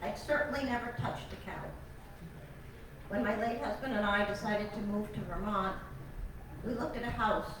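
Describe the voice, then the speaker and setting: A woman's voice: mid-range voice, normal speed, pauses often. An older woman, a retired teacher turned cattle farmer, telling personal stories to an audience in a chatty, emotional, self-deprecating way. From born in Alabama, raised on Long Island. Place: large meeting hall. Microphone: far room mic. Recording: echoey hall from far off, noisy.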